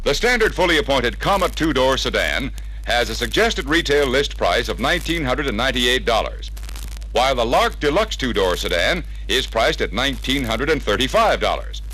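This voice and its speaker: An American man reading a scripted 1960s promotional narration in a measured, emphatic, salesmanlike way, from an old record. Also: medium pitch, big pitch swings, sharp tone, breathy edge, poor audio. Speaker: narrator of a salesman training film. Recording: vintage 33-1/3 RPM record sound.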